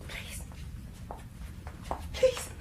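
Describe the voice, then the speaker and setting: A woman's voice: extremely slow, warm tone. An actress playing a timid, anxious, easily flustered woman in a radio horror drama, hesitant and pleading. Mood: frightened, pleading.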